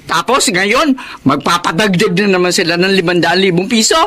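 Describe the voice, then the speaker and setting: A woman's voice: fairly low pitch, moderate pace, fairly bright tone. A woman playing an outspoken middle-aged Filipino wife and mother in a radio drama, emphatic and indignant. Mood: defiant.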